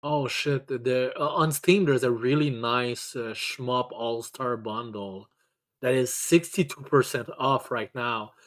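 A man co-hosting a casual podcast, talking at a middling pitch. He stammers and restarts words often and swears freely as he chats about games. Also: fairly even intonation, unhurried, fairly bright tone. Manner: casually profane. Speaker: podcast co-host. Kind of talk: casual video game chat.

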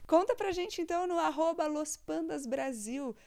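A woman leads the chat with curious, prompting questions. Her voice sits a little high but has a warm, mellow tone. She sounds like a relaxed podcast co-host. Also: normal speed, gravelly.

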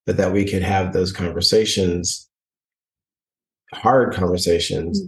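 Man co-host, very deep voice, reflective and halting, recorded from a distance in an echoey room. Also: fairly even intonation, a little hiss or hum. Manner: reflective, halting, many restarts. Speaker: podcast co-host. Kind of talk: casual couple chat.

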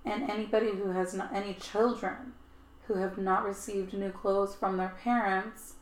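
A woman's voice: mid-range voice, level tone, moderate pace, roomy sound, poor audio. A woman co-hosting a podcast, telling stories in a chatty, casual way with lots of "like". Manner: chatty storytelling, frequent "like". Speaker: podcast co-host. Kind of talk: casual chat.

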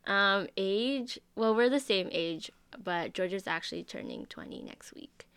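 A woman's voice: medium pitch, a little slow, fairly bright tone, clear, resonant tone, no echo. A twenty-one-year-old Canadian woman co-hosting a podcast in casual, giggly, slangy chat with a friend. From Vancouver, Canada. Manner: informal, youthful slang. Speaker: podcast co-host.

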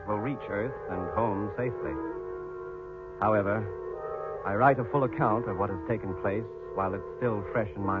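A male actor as a weary astronaut, low and solemn, narrates his journal with formal, measured care. It is 1950s radio drama, narrow and tinny, with music playing clearly underneath. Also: lightly acted.

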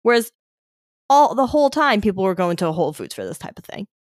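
A young woman talks in a relaxed podcast chat, wandering through her thoughts with plenty of hedges and restarts. Her voice has an everyday middle pitch and sounds dry and close to the mic. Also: quick pace, no room sound. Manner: rambling, thinks aloud with many hedges. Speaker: podcast co-host. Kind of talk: casual chat.

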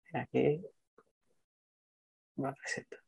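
A man's voice: medium pitch, fairly even intonation, slow pace, vocal fry, a little mellow, slightly rough, muffled recording. Earnest young man on a video call. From Venezuela. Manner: earnest, enthusiastic storytelling. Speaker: motivational trainer. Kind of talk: online training talk.

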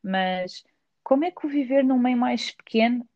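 A woman's voice: fairly high pitch, moderate pace, dry recording, lo-fi sound. Lisbon lady hosting a chatty podcast, laughs a lot, dry close sound. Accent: Lisbon Portuguese. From Portugal. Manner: chatty, laughs often, animated quoting. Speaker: podcast host. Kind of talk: casual conversational interview. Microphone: remote recording.

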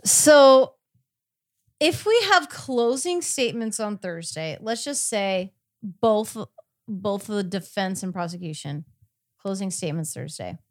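Female podcast co-host. Casual conversational delivery, average pitch, very little room sound. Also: expressive, slow pace, dry recording, clean studio sound. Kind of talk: casual true crime podcast chat.

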